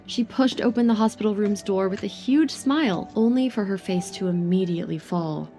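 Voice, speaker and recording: A woman's voice: mid-range voice, moderate pace, mellow, faint music behind. A woman narrating a dramatic scripted story as an audio drama narrator.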